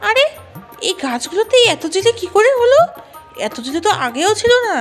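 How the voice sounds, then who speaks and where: A woman's voice: very high-pitched, very animated, moderate pace, soft background music. A woman voicing a small, innocent, anxious female bird in a children's cartoon, lamenting and exclamatory. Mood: surprised. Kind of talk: Bengali children's cartoon voice acting.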